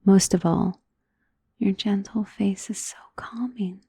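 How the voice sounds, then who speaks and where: A woman playing a centuries-old, wealthy vampire in an ASMR roleplay, doting and possessive, speaking in a soft, intimate, genteel and commanding manner. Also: mid-range voice, fairly even intonation, husky, no echo. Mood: fond, doting. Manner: soft, intimate, genteel, unhurried endearments, often dropping into whisper. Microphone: close microphone in a dry space.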